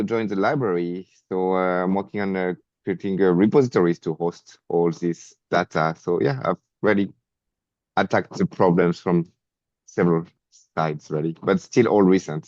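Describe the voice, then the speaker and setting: A man's voice: low-pitched, moderate pace, a little mellow, no room sound, muffled recording. A man with a French accent introducing himself on a video call, hesitant, with many fillers and restarts. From France. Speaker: meeting participant. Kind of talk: online meeting self-introduction.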